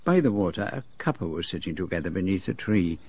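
A middle-aged foreign detective in a radio drama, with a French-speaking European accent and a slightly husky edge. He is shrewd and unfailingly polite, choosing formal, precise phrases. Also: sits low, lively intonation, fast talker, darkish tone, heavily acted. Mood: musing. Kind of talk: radio drama dialogue and narration.

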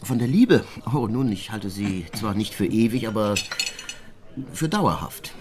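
A flirty society gentleman in a radio drama, lowish voice, romantic pauses, dishes clattering behind. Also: lively intonation, noisy background. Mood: earnest, romantic. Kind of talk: German radio play acting.

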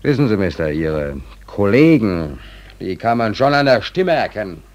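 A man's voice: fairly low pitch, big pitch swings, normal speed. A man acting in a 1940s German radio play as a stranded young sailor, telling his misfortune in rambling, colloquial, wry phrases. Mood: wry, reflective. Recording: old 1940s radio recording.

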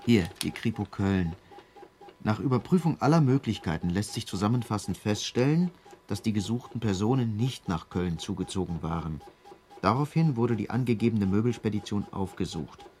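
An actor playing a dutiful police assistant in a radio drama, brisk, formal and matter-of-fact. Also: male voice, lowish voice, lively intonation, darkish tone, lightly acted. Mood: official, dry. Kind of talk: German radio drama acting, reading official reports.

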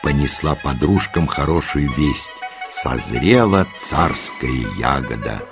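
A man narrating a scripted children's tale in an expressive, deliberate storyteller's delivery. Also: very deep voice, heavily acted. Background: music.

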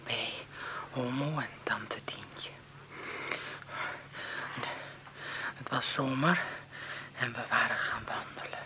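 A dying old mother in a radio play. Her voice is very deep and slow, a weak half-whisper. She wanders and repeats herself in confusion, with faint noise behind her. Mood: dreamy, confused. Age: older. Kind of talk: naturalistic radio drama acting.

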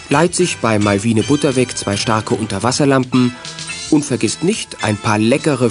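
Guy narrating a kids story, medium pitch voice, music playing underneath. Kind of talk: scripted storytelling narration for children.

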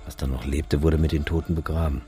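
Wry photographer, extremely low voice, laconic, radio play monologue, music underneath. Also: male voice, steady tone, fast, dark tone. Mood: grim, sardonic. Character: sombre, sardonic male photographer recalling a disaster.

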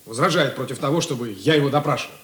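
A man's voice: medium pitch, racing, a bit bright, lightly acted. An actor playing an earnest young rookie policeman in a radio play, hesitant and plaintive. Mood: aggrieved. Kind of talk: Russian radio drama acting. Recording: echoey room.

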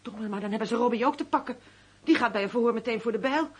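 An actress playing a loyal, anxious gangland accomplice in a radio play, with a husky voice. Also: female voice, fairly high pitch, very fast. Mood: alarmed.

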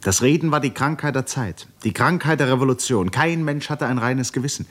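A man narrating a 1950s German radio drama in a grave, literary style, measured and solemn with dramatic pauses. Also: mid-range voice, lightly acted. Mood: grave, reflective. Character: grave, literary male narrator of a tragic novel.

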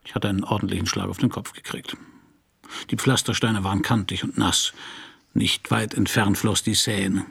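An actor playing a wry, hard-boiled private detective who narrates laconically in a German radio crime drama. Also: male voice, lowish voice, steady tone, moderate pace, a bit bright, slight background noise. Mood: brooding, laconic. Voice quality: husky, gravelly. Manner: laconic, dry, sardonic.

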